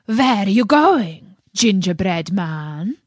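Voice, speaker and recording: A woman's voice: mid-range voice, very animated, slow, no room sound, studio quality. A woman voicing a menacing wolf in a storybook, snarling and taunting. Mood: taunting menace.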